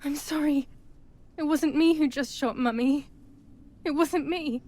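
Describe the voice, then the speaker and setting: A woman playing a troubled, brooding young woman in a wartime psychological game, narrating in a measured, dramatic, introspective way. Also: high voice, mellow. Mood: distraught, guilty. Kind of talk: scripted first-person game narration.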